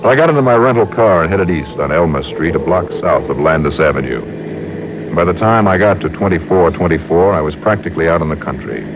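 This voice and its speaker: An actor playing a wry, tough insurance investigator in 1950s radio drama, with an American accent and a clipped, hard-boiled manner. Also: male voice, low-pitched, expressive, fairly quick. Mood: wry narration. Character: wry, tough male insurance investigator narrating his own case. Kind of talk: 1950s radio detective acting. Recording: dull old radio recording with music.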